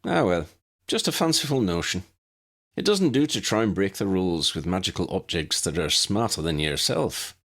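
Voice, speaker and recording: A friendly young Irish wizard as acted out by a male narrator chats in a lilting accent for a storybook. The voice is husky and swings up and down with great animation. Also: low voice, sing-song, moderate pace, a bit bright, full character voice. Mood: wistful.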